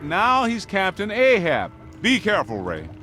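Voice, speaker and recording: No-nonsense skeptical ghost hunter guy, kinda high voice, very animated, music behind. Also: unhurried, full character voice. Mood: concerned. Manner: plain-spoken, colloquial. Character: practical, skeptical, plain-spoken male ghost hunter. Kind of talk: video game voice acting.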